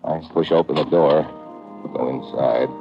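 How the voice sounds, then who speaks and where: A hard-boiled agent speaks in a very deep voice with clipped, wry delivery. It is vintage radio drama, muffled, with music clearly behind the voice. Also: male voice, measured pace. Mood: tense narration. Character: tough wry American secret agent narrating his own case. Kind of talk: old-time radio drama narration and acting.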